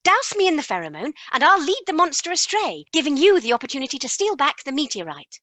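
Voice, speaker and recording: A woman playing a jolly, proper 1950s lady scientist with a British accent and a deadpan theatrical manner in a radio comedy parody. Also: sits high, quick pace, no room sound, soft background music, heavily acted. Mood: brisk, confident.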